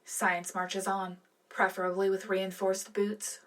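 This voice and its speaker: A woman's voice: medium pitch, monotone, slow pace, a bit bright. A woman playing a composed, loyal propaganda radio anchor reading a scripted, wryly upbeat sci-fi war broadcast. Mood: proud, official. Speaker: radio co-host. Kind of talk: scripted in-world news broadcast.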